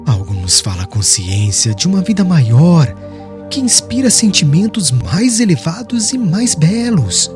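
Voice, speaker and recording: A man's voice: a little high, sing-song, a little slow, over music. A man voicing a serene, devout young preacher in an audiobook, eloquent and formal, declaiming with solemn firmness. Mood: solemn exhortation.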